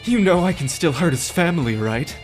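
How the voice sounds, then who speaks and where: A man's voice: a little high, animated, brisk, over music, lightly acted. A man playing a scheming, smarmy and cowardly villain broker in a dramatic audio drama. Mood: gloating, defiant.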